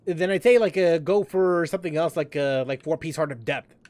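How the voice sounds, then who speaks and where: A man's voice: on the high side, a little fast, a little mellow, no echo. A man streaming a game live, thinking aloud as he plays and answering viewers' questions in a loose, chatty way. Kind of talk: live gameplay commentary.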